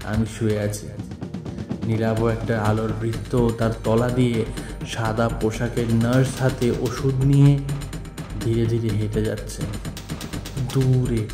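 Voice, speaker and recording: A man narrating a literary story dramatically in the first person, deliberate and theatrical, with drawn-out pauses. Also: medium pitch. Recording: echoey voice with music bed. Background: background music.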